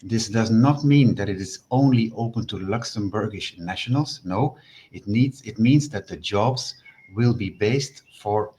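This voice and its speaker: A man with a fairly low voice speaks on a webinar, explaining hiring procedures in a steady, patient way with a few fillers along the way. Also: measured pace, low-quality recording. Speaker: selection office representative, webinar speaker. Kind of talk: online panel talk. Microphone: video call.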